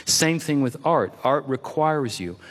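A man's voice: mid-range voice, lively intonation, unhurried, long reverb tail. Middle-aged man with a French first language. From Quebec, Canada. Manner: expansive, lists examples, repeats words for emphasis. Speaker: author guest. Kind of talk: reflective interview talk.

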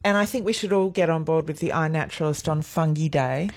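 An Australian woman hosting a talkback radio show, lively and playful. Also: deep voice, fairly quick. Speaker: radio host.

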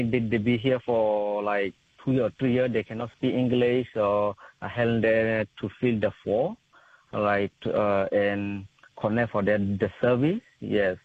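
A young man from Thailand, a community interpreter, speaking halting non-native English with many fillers and restarts. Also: medium pitch, no echo. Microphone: telephone. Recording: tinny phone line.

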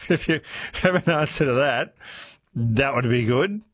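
A man's voice: mid-range voice, slow pace, breathy edge, no room sound, studio quality. A man hosting a talkback radio show, reading listener messages in a friendly, conversational way. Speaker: radio host. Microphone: studio microphone.

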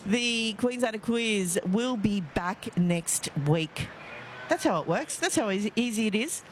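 A woman's voice: mid-range voice, animated, unhurried. A woman with an Australian accent hosting live talkback radio outdoors, chatty and self-mocking, laughing easily. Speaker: radio host. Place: outdoors outside a stadium, live broadcast. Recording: faint outdoor background noise. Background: faint ambient noise.

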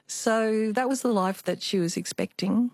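A woman artist being interviewed, telling family stories in a reflective, unhurried way. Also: fairly high pitch, a little mellow, no room sound, studio quality. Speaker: guest, artist. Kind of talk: radio interview storytelling.

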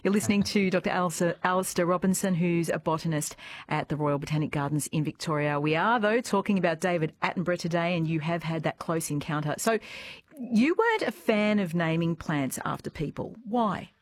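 Low-voiced Australian woman hosting radio. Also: a little fast. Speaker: radio host. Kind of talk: live radio interview, conversational.

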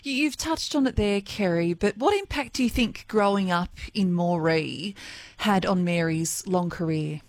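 A woman interviewing a guest on the radio, asking brief, gentle questions. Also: on the low side, measured pace, no room sound.

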